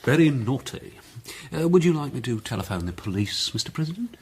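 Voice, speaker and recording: Raspy, drily amused gentleman. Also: medium pitch, sing-song, a little fast, full character voice. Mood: amused, teasing. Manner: teasing, dry. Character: wry, drily amused gentleman club member. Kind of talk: radio drama acting.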